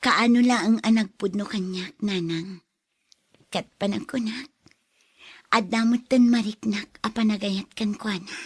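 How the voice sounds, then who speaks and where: A woman's voice: mid-range voice, fairly even intonation, unhurried, slightly rough, dry recording, studio quality. A woman acting a troubled young daughter in love in a radio drama. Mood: heartfelt.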